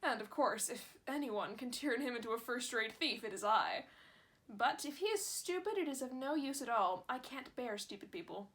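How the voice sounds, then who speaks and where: Pompous storybook master thief, slow and emphatic, read by a woman. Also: a little high, expressive, a bit bright. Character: proud master thief, grown man.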